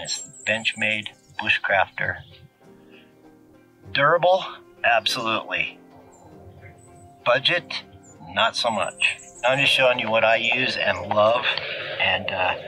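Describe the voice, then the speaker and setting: A middle-aged man casually reviewing his camping tools to camera, relaxed and jokey, recorded outdoors in open scrubland. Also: on the low side, rough recording. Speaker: outdoor gear vlogger.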